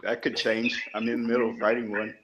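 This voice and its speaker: A man's voice: medium pitch, level tone, fast talker, a bit bright, rough recording. A man chatting in a casual group call, crude and teasing.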